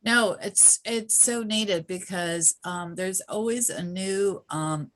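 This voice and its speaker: A woman's voice: mid-range voice, slow pace, a bit bright. A woman hosting a podcast, asking questions enthusiastically with plenty of ums. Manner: enthusiastic, asks questions with fillers. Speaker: podcast host. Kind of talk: casual podcast interview.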